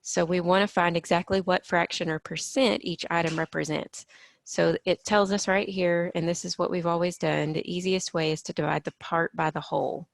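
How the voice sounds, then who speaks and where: A woman teaching a middle-school math lesson, explaining each step patiently and sounding a little hoarse from allergies. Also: on the low side, steady tone, normal speed, no room sound.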